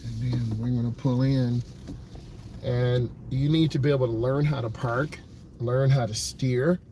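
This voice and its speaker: A driving instructor speaks from inside his car, earnest and encouraging, stacking up repeated points with plenty of "uh"s. His voice sits at an ordinary middle pitch. Faint road ambience hums behind him. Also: fairly even intonation, a little slow, noisy background. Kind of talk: vlog talking from a car.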